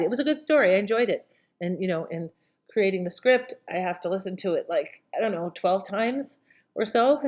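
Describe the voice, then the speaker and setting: A woman hosting with a casual, unscripted introduction, conversational and self-correcting. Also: mid-range voice. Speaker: host introducing old radio shows.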